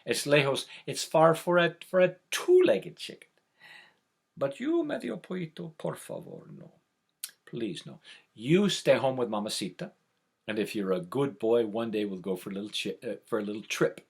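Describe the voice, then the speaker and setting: A man's voice: medium pitch, very animated, normal speed, creaky, husky, exaggerated voice. A man doing a fretful scolding mother hen. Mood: fretting. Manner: scolding, pleading. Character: worried protective mother hen.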